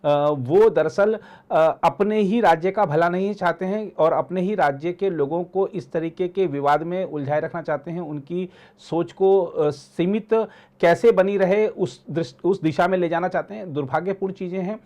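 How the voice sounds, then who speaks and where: Higher-pitched man, halting commentator. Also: a little mellow. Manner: halting, repeats words and phrases. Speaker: news editor and commentator. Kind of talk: political discussion.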